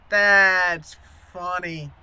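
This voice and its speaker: A woman's voice: on the low side, sharp tone, smooth, no echo. A woman hosting a chatty video game let's play with roleplay, in a playful manner. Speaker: YouTube game streamer, channel host.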